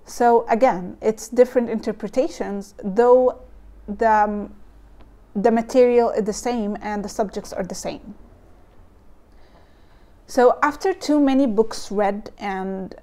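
Egyptian-accented young woman with a mellow voice. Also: fairly high pitch, slow pace. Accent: Egyptian Arabic. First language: Arabic. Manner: nervous, thoughtful, with frequent ums and likes. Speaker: vlogger. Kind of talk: casual explainer vlog. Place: home room with bookshelves, indoors. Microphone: lapel mic, camera close.

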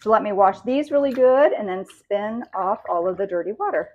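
A woman's voice: medium pitch, lively intonation, moderate pace, phone-quality sound. A woman, chatting practically as she preps food in her home kitchen. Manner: friendly, practical, talks while working. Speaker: cooking channel host. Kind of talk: casual kitchen how-to.

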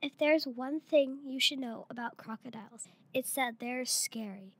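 A girl of about eight or nine, a third-grade pupil, reading a picture book aloud in a halting way. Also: high voice, fairly bright tone, no room sound. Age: child, around eight or nine.

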